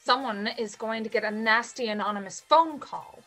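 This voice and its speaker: A woman's voice: on the high side, normal speed, pushed and bright, soft background music. A young woman playing a doting, blunt, sassy fifty-year-old mother in a play reading over a video call. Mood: sardonic. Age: young adult. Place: home bedroom, indoors. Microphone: video call on computer.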